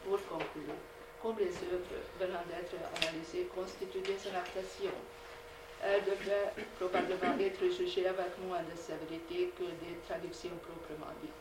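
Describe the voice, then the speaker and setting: A woman whose first language is Hungarian, reading a measured academic lecture with frequent hesitations. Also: on the low side, flat delivery, far from the mic. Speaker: conference speaker. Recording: echoey hall recorded from afar, noisy.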